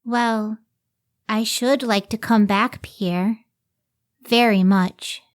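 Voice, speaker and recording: A woman's voice: on the high side, fairly even intonation, very slow. A woman playing a thoughtful, dutiful young woman scientist in an old-time radio drama style. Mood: gently resolute.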